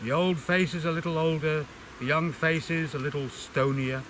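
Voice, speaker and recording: Man reading aloud over clear buzzing noise. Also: on the high side, steady tone, normal speed, fairly bright tone. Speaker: amateur reader introducing and reading a book extract. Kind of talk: expressive literary reading aloud.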